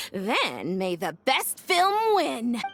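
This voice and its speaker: A high, very animated young woman's voice with music underneath. She is a spirited, self-assured film director in a video game, breezy and theatrical, taking her time over each line. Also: exaggerated voice.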